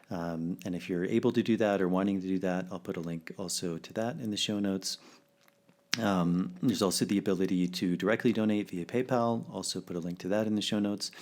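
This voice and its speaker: A man's voice: sits low, a little mellow. A man hosting a podcast in a casual, easygoing way, with loose rambling phrasing and plenty of ums and uhs. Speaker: podcast host.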